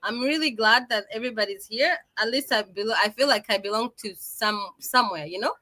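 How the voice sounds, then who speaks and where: A woman's voice: on the high side, brisk, sharp tone, rough recording. A Ugandan woman on a video call, introducing herself in a cheerful way and laughing often. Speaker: guest. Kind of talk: self-introduction.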